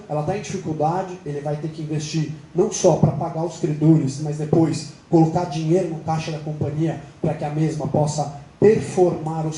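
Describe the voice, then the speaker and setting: A man with a Brazilian Portuguese accent presenting to creditors, explaining quickly and acting out quoted dialogue. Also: sits high, warm tone. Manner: explanatory, fast, quoting others in dialogue. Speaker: company representative presenting to creditors. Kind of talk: business presentation. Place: meeting room. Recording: roomy hall, light noise.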